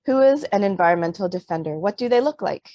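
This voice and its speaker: A woman's voice: mid-range voice, expressive, fast talker, mellow, dry recording, studio quality. A middle-aged woman from Canada, an author on a panel who talks with many fillers and restarts, then reads aloud from her book. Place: Vancouver.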